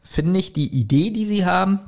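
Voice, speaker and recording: Higher-voiced man in casual podcast chat. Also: normal speed, vocal fry, a little mellow. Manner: chuckles often while talking. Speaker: podcast co-host. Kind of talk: casual chat reviewing an audio drama.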